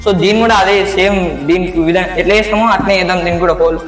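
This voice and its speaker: Fast, high-pitched Telangana Telugu man vlogger. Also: fairly even intonation. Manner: repetitive step-by-step explaining, informal. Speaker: host of a DIY experiments channel. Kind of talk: casual how-to vlog narration. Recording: echoey sound with music behind.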